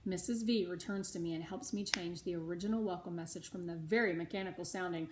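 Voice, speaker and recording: A middle-aged or older woman reading a storybook aloud to schoolchildren, animated, with chatty asides of her own. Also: fairly low pitch, quick pace, background noise. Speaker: read-aloud host for schoolchildren.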